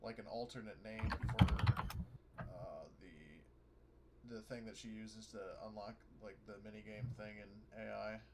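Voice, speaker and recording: A man's voice: on the low side, steady tone, unhurried. A man playing a tabletop game, talking casually as a player. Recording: clear background noise, keyboard typing.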